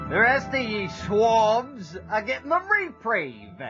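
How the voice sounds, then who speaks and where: An actor playing a gleefully cruel, salty British navy bosun in an audio drama, with a drawn-out, taunting delivery. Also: male voice, high-pitched, wildly swooping pitch, exaggerated voice. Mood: gloating. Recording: dull recording with music underneath.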